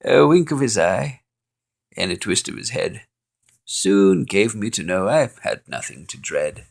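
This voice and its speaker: Gravelly, slow, playful male storyteller. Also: fairly low pitch. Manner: expressive, playful reading with chuckles. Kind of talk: reading a holiday poem aloud.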